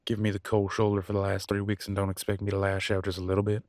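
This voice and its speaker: A man's voice: low voice, completely flat delivery, fast talker, a little mellow, no room sound, studio quality. A man playing a proud, wounded werewolf husband and country vet in a roleplay audio drama, with a drawl and a folksy, bitter, raw way of talking. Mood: hurt, accusing. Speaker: voice actor. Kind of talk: dramatic roleplay audio, one side of a couple's argument.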